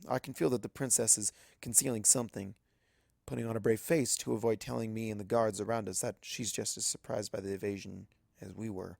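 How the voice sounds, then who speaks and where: A man's voice: on the low side, steady tone, fairly quick, vocal fry, darkish tone, dry recording, clean studio sound. A man reading a first-person story aloud as dramatic scripted narration. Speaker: audiobook narrator of fan fiction.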